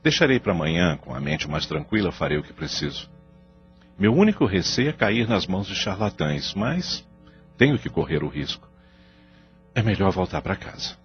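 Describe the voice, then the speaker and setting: A man's voice: sits low, big pitch swings, moderate pace, dull audio, soft background music. A man acting a troubled, remorseful husband and father in an audio soap opera, brooding and emphatic. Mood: weary, brooding.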